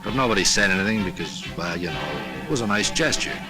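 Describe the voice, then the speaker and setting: A man's voice: lowish voice, expressive, quick pace, gravelly. An American man speaking as a soldier in a folksy, wry, conversational 1940s documentary voice-over. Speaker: soldier narrating war experience. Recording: 1940s film soundtrack with music behind.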